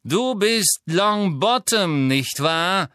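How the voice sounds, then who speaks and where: A man voicing a cold, aristocratic male villain who mocks his enemy slowly and pointedly. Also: high voice, steady tone, pushed and bright, clear, resonant tone, no room sound, studio quality, pitched above the performer's own voice, exaggerated voice. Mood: sneering. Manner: sneering, mocking, slow and pointed.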